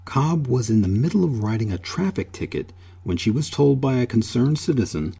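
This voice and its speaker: A man hosting and narrating a scripted history documentary in a measured, solemn manner. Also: mid-range voice, big pitch swings, vocal fry, dark tone, a little hiss or hum. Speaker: host and narrator.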